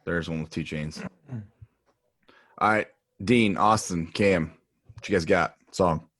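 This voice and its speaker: Deep-voiced man hosting casually. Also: takes it slowly, fairly bright tone. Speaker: podcast host. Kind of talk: casual chat.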